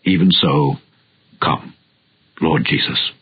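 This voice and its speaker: A man narrating a dramatized scripture reading in a solemn, measured, formal manner. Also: a bass voice, breathy edge. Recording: dull lo-fi audio with music behind. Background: background music.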